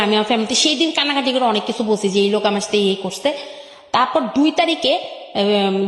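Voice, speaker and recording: A young woman with a Bangladeshi Bengali accent and an electronically altered voice, telling her life story emphatically and repeating phrases. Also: fairly high pitch. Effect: voice changer on the voice. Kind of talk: personal storytelling on a radio show. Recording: echoey with long reverb.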